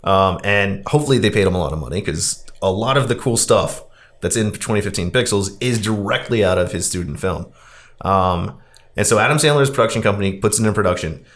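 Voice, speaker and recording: A man with a fairly low voice hosts a casual movie podcast. He talks in a loose, rambling, conversational way, as if among colleagues. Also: lively intonation, brisk, fairly bright tone. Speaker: podcast host.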